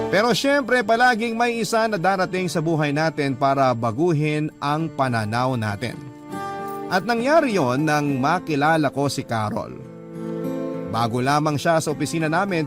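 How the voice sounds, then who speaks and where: A Filipino man narrating a first-person love letter in the style of a radio drama. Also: fairly high pitch. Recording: music bed under the voice. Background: background music.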